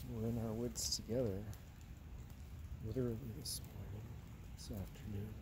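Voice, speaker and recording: A man in his fifties talking slowly and musingly while doing yoga in a forest, slightly out of breath, recorded on a phone camera set on the ground. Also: fairly low pitch, steady tone, heavy background noise. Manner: slow, musing, drawn-out phrases with sighs and soft laughs. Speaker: yoga instructor. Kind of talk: meandering vlog talk while doing yoga. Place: outdoors in a forest.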